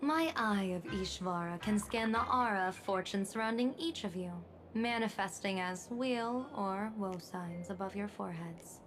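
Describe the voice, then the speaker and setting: A woman's voice: mid-range voice, normal speed, few pauses, fairly bright tone, slight background noise, lightly acted. A woman playing a playful, enigmatic fortune-telling general in a video game, speaking in a theatrical, cryptic way. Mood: intrigued.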